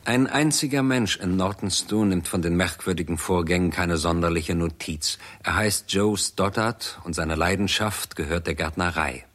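A man giving sober, measured scripted narration as the narrator of a 1960s German radio drama. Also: sits low, fairly bright tone.

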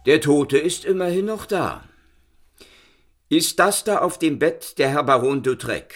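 An actor playing a methodical Paris police inspector in a radio play, questioning a witness in a businesslike way. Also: male voice, a little high, animated, measured pace, faint music behind.

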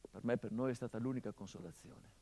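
A man's voice: medium pitch, fast talker, natural voice. A man playing a bitter middle-aged son. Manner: swings from hushed murmurs to impassioned outbursts; sardonic, biting. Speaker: stage actor. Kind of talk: theatrical monologue.

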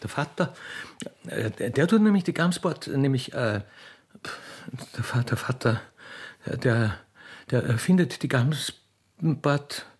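Man acting a naive Austrian country girl, husky. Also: mid-range voice, lively intonation, slow. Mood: wondering. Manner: stammering, repeating words in wonder. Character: naive, excitable young country girl, a railway keeper's daughter. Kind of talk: radio play acting.

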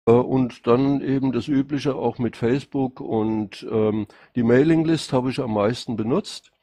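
A middle-aged man speaks with a Rhine-Hessian accent that mixes Hessian and Palatine. His pitch is average and his delivery is halting and colloquial, like a candidate talking off the cuff in an online voice chat. The sound is dry and close, without room echo. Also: dark tone. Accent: Rhine-Hessian, mixing Hessian and Palatine. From Rhine-Hesse, Germany. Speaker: political candidate answering questions. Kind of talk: informal spontaneous self-presentation.

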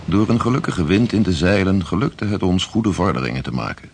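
Deep, gravelly voyage chronicler. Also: male voice, animated, brisk, exaggerated voice. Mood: measured. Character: grave chronicler narrating a sea voyage log. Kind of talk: scripted narration, formal historical prose.

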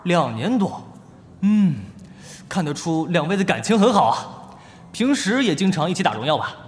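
A man playing a lively esports stage host in an audio drama, with a polished, enthusiastic announcer delivery. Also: high-pitched, moderate pace. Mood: upbeat, announcing. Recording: long stage reverb, faint music behind.

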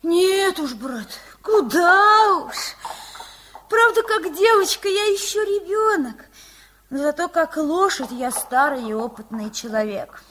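A woman's voice: extremely high pitch, animated, slow pace, a bit bright, a little hiss or hum, heavily acted. An actress playing a girl turned into a wise, world-weary horse in a radio play, giving folksy, resigned advice. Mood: folksy, reassuring.

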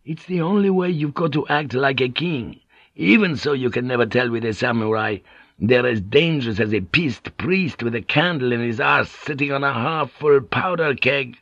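A man's voice: medium pitch, normal speed, fairly bright tone, no room sound, dull audio, pitched above the performer's own voice. A man voicing a brash, swaggering Portuguese ship's pilot in an audiobook, cocky, bluffing and coarse-mouthed. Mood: worldly, teasing.

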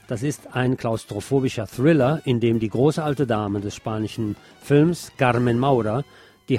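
A man's voice: medium pitch, dark tone. A man hosting a radio show, presenting local news and culture tips.

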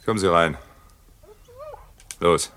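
An actor playing a gruff, irritable, commanding captain in a radio play, curt and imperious. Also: male voice, deep voice, slow, noisy background. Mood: angry, curt.

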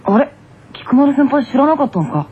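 A woman's voice: high voice, sing-song, a little fast. A woman voicing a cool, cheeky junior schoolboy tennis player in an anime radio drama. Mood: cool, casual. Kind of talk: anime character radio announcement. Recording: tinny narrow-band radio, faint noise.

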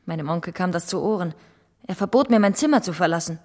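An actress playing an earnest, guarded young gentlewoman in a German radio play, speaking formal, measured period language. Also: fairly low pitch, animated. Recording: long hall reverb.

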